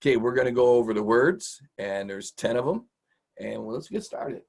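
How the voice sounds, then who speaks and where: A man with a husky, medium-pitched voice leads a children's word lesson. He is informal and encouraging, chatting in a folksy way as he explains. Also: animated, normal speed, a little mellow. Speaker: host, teacher. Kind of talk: casual children's vocabulary lesson.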